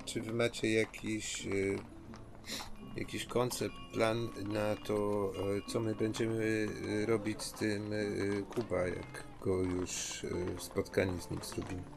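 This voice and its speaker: A man plays a tabletop role-playing game in character. His low voice is gravelly, and he speaks slowly in halting phrases. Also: flat delivery, over music. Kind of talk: improvised in-character game talk.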